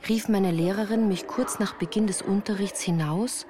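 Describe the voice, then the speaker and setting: A woman's voice: medium pitch, moderate pace, crowd noise. A woman reading scripted first-person narration for a radio play. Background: music.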